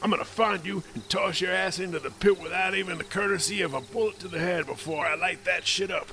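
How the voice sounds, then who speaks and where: A woman's voice: fairly low pitch, brisk, pushed and bright, a little hiss or hum. A woman voicing a brutal, foul-mouthed male military captain who snarls threats. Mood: taunting.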